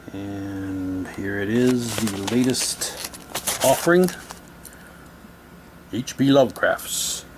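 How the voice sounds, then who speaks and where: A man in a casual unboxing commentary, reading documents aloud and musing to himself as he goes. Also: fairly low pitch. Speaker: reviewer showing mail-order radio drama props. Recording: clear background noise, room ambience.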